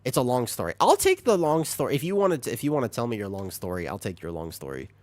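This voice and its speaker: A man streaming a video game, chatting with viewers, reacting with excited high bursts and then dropping into quiet asides. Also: fast, no room sound. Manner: animated, jumps into high excited bursts while reading chat; drops to low, quiet asides while setting up. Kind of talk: live-stream chat while gaming.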